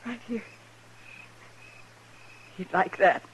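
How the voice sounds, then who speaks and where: A woman playing a grieving frontier widow in a 1950s radio western, speaking in a halting, subdued way on an old recording. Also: slight background noise. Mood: grieving, resigned.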